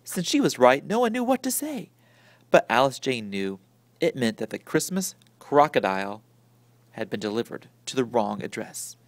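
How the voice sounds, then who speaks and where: A man reading a children's story aloud in an expressive, theatrical way that swings from hushed to booming. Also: normal speed. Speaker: storybook reader.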